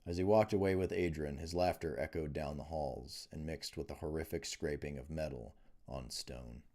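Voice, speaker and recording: Deep, mellow male audiobook narrator. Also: normal speed. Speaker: author reading own book. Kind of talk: audiobook narration of fantasy fiction.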